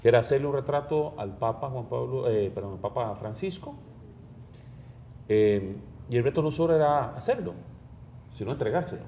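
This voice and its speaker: Costa Rican TV host, animated questions. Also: male voice, mid-range voice, moderate pace, a little hiss or hum. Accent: Costa Rican Spanish. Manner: animated questioning, with hesitant fillers. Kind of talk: TV studio interview. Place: TV studio.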